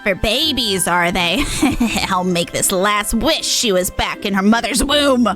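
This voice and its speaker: A woman's voice: mid-range voice, expressive, slow, a bit bright, soft background music. A woman voicing a male monster clown from a video game, with theatrical, old-fashioned courtly phrasing. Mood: menacing. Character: male monster clown, gruff-named, theatrical, eager to scare.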